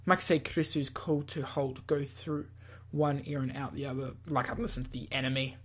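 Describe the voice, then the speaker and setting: Gaming host for visual novel read-through: slightly husky, mid-pitched, playful, stumbles and self-corrects as they go. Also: little pitch movement, moderate pace. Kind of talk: reading a visual novel aloud with asides.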